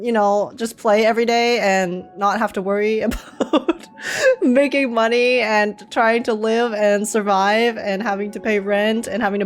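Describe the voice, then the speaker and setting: A woman giving casual, slangy, joking reaction commentary as a game streamer. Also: fairly high pitch. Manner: slangy, joking, frequently chuckling.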